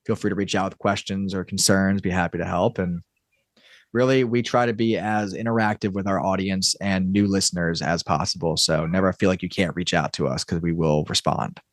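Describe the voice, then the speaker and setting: A man speaking as a podcast guest in an informal, halting casual chat. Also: low-pitched, steady tone, no echo. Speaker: podcast guest, audio drama creator.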